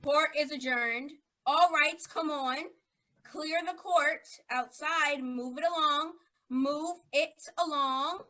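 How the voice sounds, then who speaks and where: A woman acts an officious court official in a radio play, with a high voice. She calls out commands slowly and with authority. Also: sharp tone, full character voice, poor audio. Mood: brisk authority. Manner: calling out commands. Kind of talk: old-time radio drama acting.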